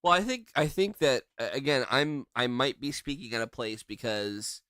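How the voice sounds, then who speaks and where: A man's voice: medium pitch, lively intonation, moderate pace, pushed and bright, dry recording. A man in the middle of his range co-hosting a podcast, talking in a loose, rambling way with plenty of swearing and self-corrections. His voice is close and dry, with hardly any room around it. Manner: rambling, swears freely, hedges and restarts. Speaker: podcast co-host. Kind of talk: casual chat.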